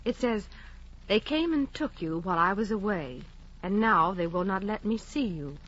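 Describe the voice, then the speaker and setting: An actress playing a kind, quiet-spoken hospital nurse, in a dull lo-fi recording. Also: female voice, mid-range voice, animated, moderate pace.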